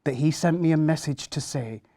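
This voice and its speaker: A man reading a rhyming children's story aloud in an expressive storytelling style. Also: on the high side, moderate pace, no room sound, clean studio sound.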